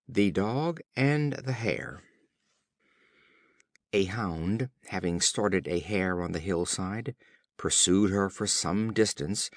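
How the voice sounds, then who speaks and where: A low-voiced man reads scripted children's fables as an audiobook narrator. His telling is slow and formal, with pitch that rises and falls freely. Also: dry recording, clean studio sound. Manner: measured, formal storytelling.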